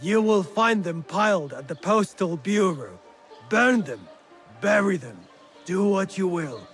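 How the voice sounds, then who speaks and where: A man's voice: high voice, very animated, measured pace, fairly bright tone, faint music behind, exaggerated voice. Scornful, stern male guardian. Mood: cold contempt. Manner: scornful, theatrical, formal archaic phrasing. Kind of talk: dramatic video game acting.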